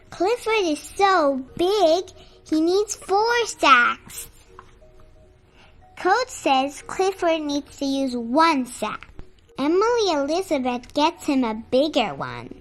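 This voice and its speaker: A child host reads a storybook aloud in a very high voice. The delivery is slow and measured, as read-aloud narration for young listeners. The voice rings with long reverb, and music plays along. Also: fairly bright tone. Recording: reverberant hall sound with music.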